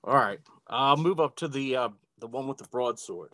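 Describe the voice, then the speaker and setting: Bright, forceful man playing a tabletop game. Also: mid-range voice, animated, moderate pace, no room sound. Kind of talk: casual game chat.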